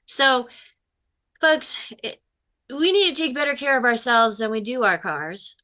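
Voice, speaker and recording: A woman's voice: fairly high pitch, unhurried, pushed and bright. A woman co-hosting a call-in health show, reading listener questions and speaking upbeat to the audience.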